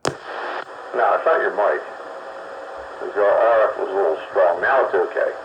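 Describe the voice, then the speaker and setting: A man's voice: low voice, moderate pace. A middle-aged man hosting a casual radio chat, laid-back and rambling, chuckling now and then. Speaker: radio show host. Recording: muffled radio with heavy static.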